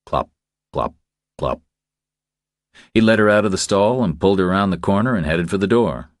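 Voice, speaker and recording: A man's voice: deep voice, lively intonation, moderate pace, no room sound, studio quality. A man reading a crime thriller audiobook as a scripted narration.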